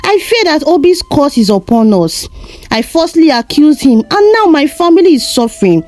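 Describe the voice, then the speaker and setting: Remorseful, fearful man, read by a female narrator, earnest and pleading, higher pitch, lively intonation, folktale storytelling. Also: normal speed, dry recording. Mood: fearful, regretful. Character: guilt-ridden grown man, fearful and pleading.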